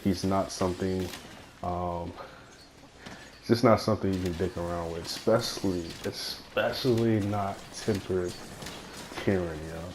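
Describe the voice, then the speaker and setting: Raspy deep-voiced young man with a cold. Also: fairly even intonation, a little slow, a little hiss or hum. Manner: rambling, lots of fillers and swearing. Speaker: gaming YouTuber. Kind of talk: casual gameplay commentary. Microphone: phone headphone mic.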